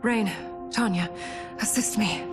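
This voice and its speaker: A woman's voice: medium pitch, takes it slowly, loud music behind the voice, close to an everyday voice. A woman playing a dutiful, commanding princess in a video game cutscene, speaking formally. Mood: firm authority. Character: firm, dutiful royal princess.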